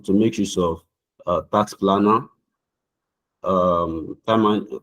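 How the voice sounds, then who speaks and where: Earnest young Nigerian man, deep husky voice. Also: rough recording. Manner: earnest, formal, repeats words when restarting. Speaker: mock visa applicant. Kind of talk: rehearsed mock interview answers. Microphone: video call.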